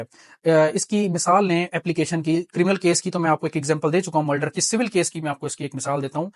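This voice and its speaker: A man's voice: high voice, dry recording. A man with a Pakistani accent lecturing on law in a didactic way, explaining with examples, repeating key points and mixing in English terms.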